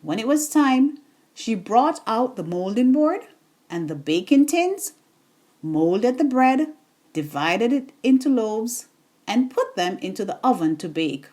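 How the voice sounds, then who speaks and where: A woman reading a children's bedtime story in an expressive, deliberate storytelling manner. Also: fairly high pitch.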